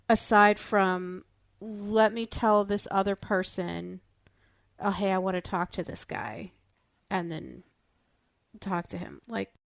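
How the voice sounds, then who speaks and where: Woman podcast panelist, average pitch, dry sound, thoughtful and analytical, conversational delivery. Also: dry recording. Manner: thoughtful, hedging, building theories step by step. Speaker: podcast panelist discussing a fiction show. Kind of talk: casual analytical discussion.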